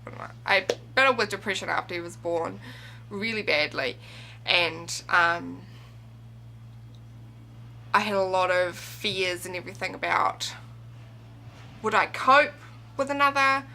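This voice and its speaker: A woman in her twenties talks through personal matters as a vlogger, reflective and halting. Her voice is bright and slightly low, and she speaks very slowly with pauses. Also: creaky, slight background noise. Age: 20s. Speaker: video blogger. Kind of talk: personal vlog monologue, confiding.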